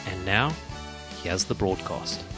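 A man with a low voice reads a slow, measured scripted welcome as announcer. Instrumental music plays clearly under his words. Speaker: podcast announcer. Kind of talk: scripted intro. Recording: spoken over clear music.

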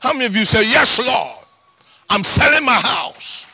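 A man with a Ghanaian accent preaching a sermon as pastor, animated, breaking into shouts and sing-song emphasis. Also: extremely high pitch, normal speed, vocal fry, breathy edge.

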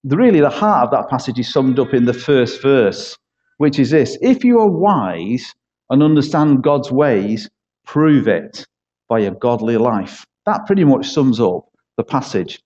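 A middle-aged man with a Manchester accent preaches a sermon, chatty and self-deprecating, tossing in jokes and rhetorical questions. His voice is dark and mellow. The recording is dull and lo-fi. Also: mid-range voice, very animated, unhurried. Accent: Mancunian. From East Manchester, England. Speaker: church leader preaching as a guest.

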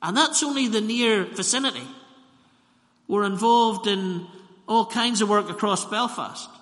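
A man with a Northern Irish Ballymena accent, a minister preaching a sermon, telling stories and slowing down to repeat phrases for emphasis. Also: high-pitched, a bit bright. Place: church. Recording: long church reverb.

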